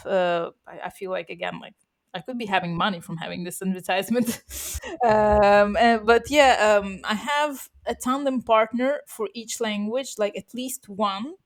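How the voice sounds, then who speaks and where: Woman podcast co-host, native Russian speaker, casual chat, many fillers and restarts, laughs often. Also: medium pitch. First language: Russian, bilingual from birth.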